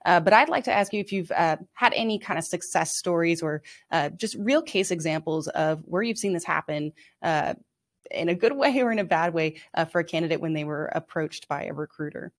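A woman's voice: on the low side, lively intonation, brisk. A woman, casually moderating a panel discussion and putting questions to guests.

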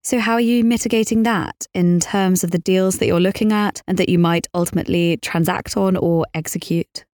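A woman's voice: fairly low pitch, fairly even intonation, normal speed, hardly any pauses, darkish tone, dry recording, clean studio sound. A woman hosting a business podcast, speaking in a polite, articulate and formal interviewing manner.

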